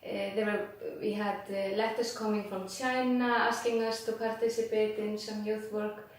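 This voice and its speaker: A woman's voice: medium pitch, level tone. Woman speaking from a distance. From Iceland. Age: 40s. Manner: unhurried, many filler pauses, non-native phrasing. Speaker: interview guest, former board member. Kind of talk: casual interview answers. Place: small office with posters and plants. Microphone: camera mic from across the room. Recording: echoey room from a distant mic.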